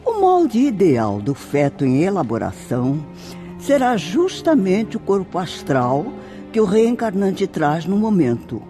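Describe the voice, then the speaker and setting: A woman's voice: fairly low pitch, wildly swooping pitch, measured pace, music in the background. A Brazilian woman playing a composed, formal spirit sister who instructs newcomers in a radio drama. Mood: composed, instructive. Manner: formal, didactic.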